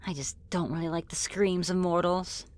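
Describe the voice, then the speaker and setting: A woman's voice: lowish voice, steady tone, normal speed, a little mellow, close to an everyday voice. A woman voicing a friendly, teasing female demon in an ASMR roleplay, with casual, politely menacing delivery. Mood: relaxed, curious. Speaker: roleplay voice performer. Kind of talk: ASMR roleplay, scripted one-sided dialogue.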